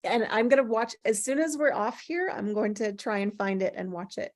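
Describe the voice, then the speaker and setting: A woman's voice: mid-range voice, moderate pace. A woman hosting an online table read in casual, slightly scattered chat over a video call.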